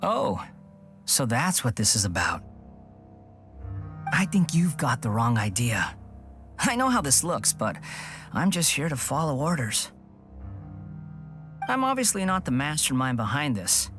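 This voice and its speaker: An actor playing a smug, mocking young villain in a video game, talking with theatrical condescension. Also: male voice, medium pitch, very animated, unhurried, pauses often, fairly bright tone. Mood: bored contempt. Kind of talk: scripted game dialogue. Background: background music.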